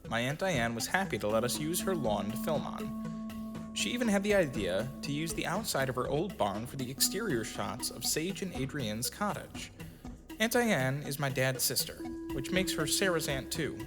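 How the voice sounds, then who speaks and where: Man narrating with lively, varied pitch. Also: moderate pace, in a large hall, loud music behind the voice. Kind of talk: scripted first-person story narration.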